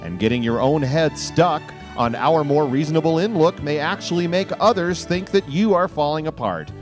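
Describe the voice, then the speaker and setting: A man's voice: a little high, normal speed. Man narrating satirical radio over music. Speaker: radio show host. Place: live stage radio broadcast.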